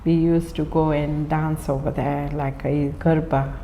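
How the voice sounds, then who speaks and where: A woman's voice: sits low, little pitch movement, measured pace, mellow, a little hiss or hum. A woman from Kenya telling her life story in an interview, hesitant, with many fillers and restarts. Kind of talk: personal storytelling.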